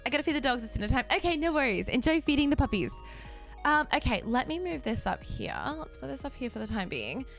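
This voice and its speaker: A young woman streaming a game and chatting casually with her viewers, bubbly and quick to laugh, her voice a little raspy from just waking up. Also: high-pitched, big pitch swings, a bit bright, soft background music. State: slightly raspy, just woken up. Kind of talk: casual chat with a live stream audience.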